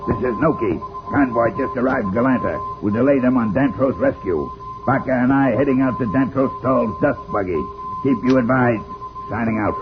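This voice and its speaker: A man plays a secretive, scheming operative in a vintage radio drama, speaking in terse telegraphic bursts. The mid-pitched voice is lo-fi and dulled, with music clearly underneath. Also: moderate pace, close to an everyday voice. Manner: clipped, telegraphic. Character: Noki, terse scheming agent reporting over secret radio. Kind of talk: vintage radio serial acting. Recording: dull old radio recording, music behind.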